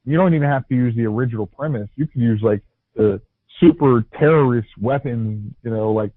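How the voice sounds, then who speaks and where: A man on a movie podcast panel, pitching ideas hesitantly with lots of restarts and fillers. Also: a little fast. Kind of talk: casual podcast chat. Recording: dry, tinny phone-like sound.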